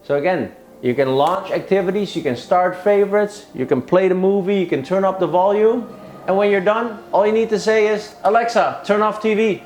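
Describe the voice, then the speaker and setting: A man's voice: high-pitched, normal speed, a little mellow, a little hiss or hum. A middle-aged man demonstrating a product step by step in an echoey living room. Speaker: product demo presenter.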